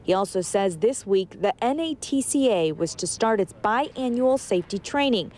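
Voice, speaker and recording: A woman's voice: mid-range voice, animated, moderate pace, darkish tone. A woman field reporter delivering a live TV news report from outside an airport. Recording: clearly noisy outdoor airport with traffic.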